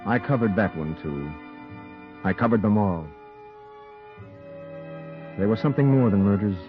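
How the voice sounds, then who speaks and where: A hard-boiled, guilt-ridden reporter in a noir radio thriller, his voice on the low side. He speaks with brooding intensity over a muffled vintage recording with music behind. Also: lively intonation, measured pace. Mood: grim narration. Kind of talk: 1940s radio drama acting and noir narration.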